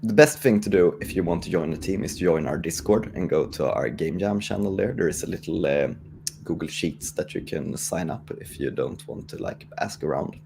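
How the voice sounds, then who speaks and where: A man's voice: deep voice, moderate pace, creaky. A man with a Swedish accent, chatting casually on a panel as a guest developer. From Sweden. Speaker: guest developer promoting a game jam. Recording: faint background noise.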